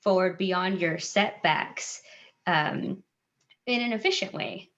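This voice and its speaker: A woman life coach speaks as a panel guest in a soft, reflective way. Her voice sits a little low, and she pauses often with "um" and "like." The audio is dull and lo-fi. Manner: soft-spoken and reflective, with many "um" and "like" fillers. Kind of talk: casual panel chat.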